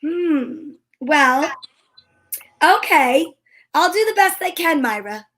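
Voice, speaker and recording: A woman's voice: high-pitched, lively intonation, takes it slowly, fairly bright tone, heavily acted. A woman playing a breezy, wisecracking woman detective who narrates her case in an amateur old-time radio drama, wry and slangy. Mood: teasing.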